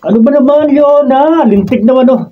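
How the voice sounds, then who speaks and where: An actor playing a lazy, hot-tempered gambling husband, irritable and blustering, in a Filipino radio drama. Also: male voice, very high voice, normal speed. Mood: annoyed. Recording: dull lo-fi studio capture with noise.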